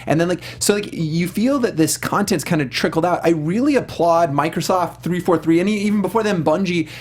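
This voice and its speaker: A man with a fairly high-pitched voice hosts a casual chat about games and films. He is enthusiastic and agreeable, openly gushing as he makes his points in conversational panel style. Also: brisk. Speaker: review show host. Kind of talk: casual panel discussion of video game films.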